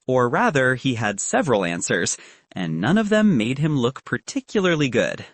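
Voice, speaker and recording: A man's voice: medium pitch, wildly swooping pitch, normal speed, no room sound. A man reading scripted fiction as a narrator, moving between narration and acted dialogue. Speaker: audiobook narrator.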